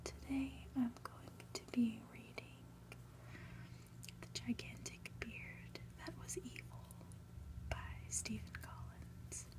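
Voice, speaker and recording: A woman hosting ASMR, whispering gently as she reads a book aloud with casual asides. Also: a little high, flat delivery. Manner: gentle, unhurried, soft-spoken. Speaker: ASMR host.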